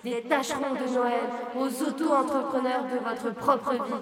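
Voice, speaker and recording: A woman's voice: sits high, fairly even intonation, unhurried. A woman playing a solemn, militant female reindeer giving a poetic, declamatory rally speech in an audio drama, with long reverb. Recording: echoey hall, distant, crowd behind.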